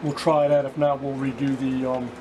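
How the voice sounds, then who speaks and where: A man giving a casual hands-on repair walkthrough, speaking haltingly with pauses in mid-sentence. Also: medium pitch, little pitch movement, dull audio, background noise. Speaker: vlogger repairing vintage radios.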